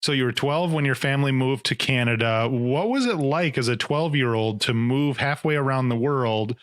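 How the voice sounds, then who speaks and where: A man of middle pitch hosts an interview podcast. He asks his guest questions in a friendly way. The recording sounds dry and close. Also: normal speed, no echo. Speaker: podcast host.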